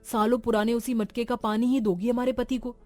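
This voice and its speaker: A woman voicing a spoiled, haughty young married daughter in a cartoon story, whiny and sharp-tongued. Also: a little high, flat delivery, brisk, lightly acted. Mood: scornful.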